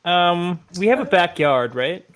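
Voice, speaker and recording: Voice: mid-range voice, lively intonation, a little slow. A player at an online tabletop game, casually describing their character's moves and asking the game master questions.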